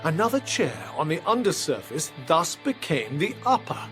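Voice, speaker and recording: Man as high-pitched, commanding gentleman detective. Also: big pitch swings, moderate pace, faint music behind. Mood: intrigued, dramatic. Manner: authoritative, dramatic. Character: commanding gentleman magistrate detective, assured and precise. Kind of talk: period audio drama acting.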